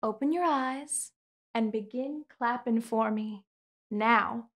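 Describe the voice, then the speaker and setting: A young woman in a studio, speaking soft, slow, soothing hypnosis roleplay with repetitive counting and commands. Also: a little high, heavy background noise. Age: young adult. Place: indoor studio set with curtain backdrop.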